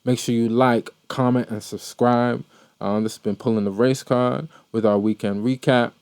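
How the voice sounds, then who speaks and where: A man's voice: medium pitch, steady tone, normal speed, vocal fry, a little mellow, clear, resonant tone, dry recording. A man who grew up in Maryland, hosting a podcast and giving casual horse racing commentary, rambling with many fillers and repeated words. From Maryland, USA. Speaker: podcast host.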